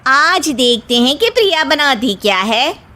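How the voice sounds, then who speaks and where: A woman voicing an excitable, gossipy older woman in a cartoon story, with a shrill, exaggerated manner. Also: unhurried, heavily acted. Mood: teasing. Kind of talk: cartoon moral story character acting.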